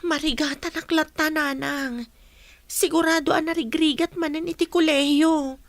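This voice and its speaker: Radio-play daughter, teens, fretful and unsure, very high voice, dry studio sound. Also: female voice, fairly even intonation, normal speed, no room sound. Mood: discouraged, anxious. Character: teenage daughter, doubtful and anxious about her schooling. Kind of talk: audio drama acting.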